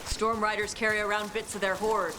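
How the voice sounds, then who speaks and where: A woman's voice: mid-range voice, flat delivery, brisk, background noise, natural voice. A woman voicing a brash, blunt horned fire-breathing warrior in a video game, talking matter-of-factly.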